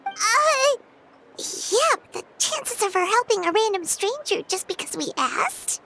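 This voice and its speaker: A woman voicing a small, excitable, frank fairy companion with a childlike, squeaky voice in a video game. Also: slow pace, background noise, heavily acted. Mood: doubtful.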